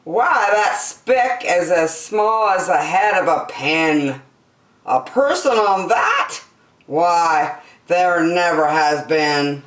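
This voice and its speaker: A woman's voice: lowish voice, lively intonation, slow pace, echoey room. A woman voicing a sour, mocking female kangaroo from a storybook, haughty, dismissive and emphatic. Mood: scornful.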